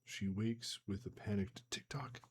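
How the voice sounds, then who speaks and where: A man with a very low, slightly hoarse voice reads a novel aloud for his live stream. He moves through the passages at a steady pace and drops into loose, slangy chat between them, over a faint hum on the desk mic. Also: measured pace. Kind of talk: live-streamed book reading with casual asides.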